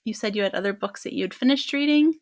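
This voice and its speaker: A woman co-hosting a podcast, relaxed, asking questions and laughing easily in casual book chat. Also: medium pitch, fast. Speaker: podcast co-host.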